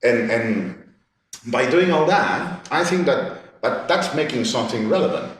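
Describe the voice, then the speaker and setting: A man, speaking as a panellist in a discussion, halting and restarting, repeating words as he searches for them. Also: medium pitch, animated. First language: Catalan. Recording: echoey gallery room, distant mic.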